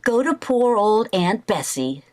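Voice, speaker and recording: Woman playing a sarcastic, animated sitcom housewife. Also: medium pitch, measured pace. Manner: dry, sarcastic wisecracks. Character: sarcastic young housewife bristling at her mother-in-law. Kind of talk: vintage radio sitcom acting.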